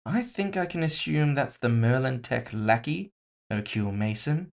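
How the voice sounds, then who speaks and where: A composed, scheming woman executive from a cyberpunk audio drama, voiced by a man lifting his pitch. The delivery is polite and casual on the surface, with smug mockery and condescension underneath. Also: lively intonation, normal speed, gravelly. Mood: smug, controlled. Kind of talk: audio fiction character dialogue.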